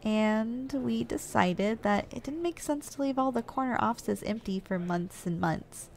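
Girl doing a gentle giantess secretary asmr rp, highish female voice, doting, soft and a little hesitant. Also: a little slow, gravelly, a little hiss or hum. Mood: apologetic, gentle. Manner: soft, caring, gently teasing, slightly hesitant. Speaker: ASMR roleplay performer. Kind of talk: ASMR roleplay.

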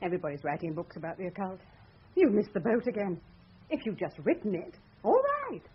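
An actress playing a blunt, scornful, teasing sceptic in a radio thriller. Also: female voice, lowish voice, sing-song, brisk, slightly rough, heavily acted. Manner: dismissive, blunt. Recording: muffled old radio drama recording.

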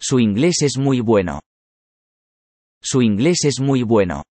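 A man reading a vocabulary list in a measured way, saying each word twice for learners. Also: medium pitch, lively intonation, warm tone, dry recording. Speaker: Spanish vocabulary reader.

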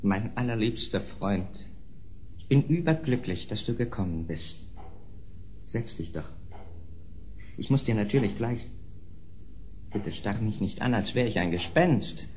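An actor playing a sickly, melancholic aristocrat in a German radio play, morbidly oversensitive, speaking in agitated, halting bursts. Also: male voice, fairly low pitch, expressive, darkish tone. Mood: brooding, effusive. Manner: agitated, broken, halting speech with sudden outbursts. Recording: noisy old audio drama record.

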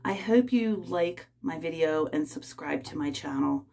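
Fifties woman, low voice, rambling phone vlog from a bathroom. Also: expressive, vocal fry, darkish tone. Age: 50s. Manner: rambling, halting, many fillers. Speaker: vlogger. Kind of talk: casual personal vlog. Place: small bathroom, in a bathtub. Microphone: phone held close.

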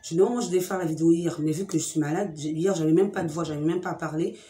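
A young woman with a cold hosting a chatty beauty vlog, talking straight to her viewers in a lively, teasing way, at home. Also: low-pitched, very fast, echoey room. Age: young adult. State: has a cold. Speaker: beauty vlogger. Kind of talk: chatty direct-to-camera vlog. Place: room at home with a fridge and sofa.

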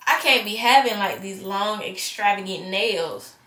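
A woman's voice: mid-range voice, measured pace, bright, forceful tone. Congested teenage girl chatting on her vlog. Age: teens. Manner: chatty and candid, rambling asides. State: congested, stuffy nose. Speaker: vlogger. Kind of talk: casual vlog chat.

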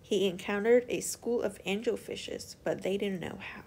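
A woman's voice: mid-range voice, moderate pace, vocal fry, a little mellow, a little hiss or hum. A woman storyteller reading a children's picture book aloud as scripted narration.

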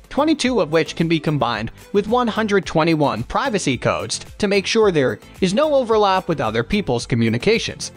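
A man with a high-set voice delivers a scripted product review voice-over. His intonation moves in animated rises and falls as he reads through features in a promotional, list-style way. Faint music plays behind him.

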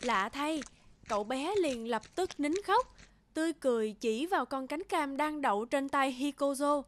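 High-voiced woman narrating a folktale. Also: animated, normal speed, no echo. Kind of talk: scripted children's folktale narration. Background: background music.

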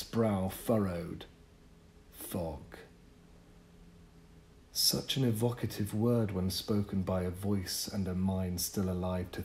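A man reading a literary short story as an audiobook, with measured, deliberate phrasing and pauses. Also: low-pitched, darkish tone, slight background noise. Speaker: audiobook narrator. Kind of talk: scripted literary story narration.

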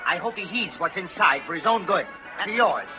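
An actor playing a self-righteous middle-aged storekeeper in a 1930s radio play, speaking indignantly. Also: male voice, high voice, lively intonation, normal speed, over music. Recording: muffled 1930s radio recording.

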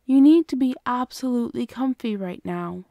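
A woman playing an affectionate, protective, gently bossy girlfriend in an ASMR roleplay, speaking tenderly and coaxingly. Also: a little high, animated, moderate pace, creaky, dry recording, clean studio sound. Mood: loving, reassuring.

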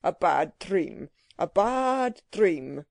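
A woman voicing a gloomy, disbelieving old stockbroker man, mournful and drawn-out, in a storybook reading. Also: on the low side, very animated, gravelly, darkish tone, no echo, exaggerated voice. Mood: dismayed.

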